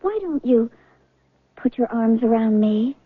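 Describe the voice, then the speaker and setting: A woman's voice: a little high, expressive, a little slow, slightly rough, no room sound. An actress playing a carefree, coaxing woman in 1970s radio drama, with a playful, nonchalant manner. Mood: coaxing, unconcerned. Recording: thin phone-like old radio recording.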